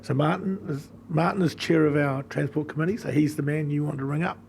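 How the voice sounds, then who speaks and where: A man's voice: a little high, a little fast, gravelly, a little mellow, a little hiss or hum. A man chairs a council meeting, thanking a speaker in a formal, appreciative way. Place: council chamber.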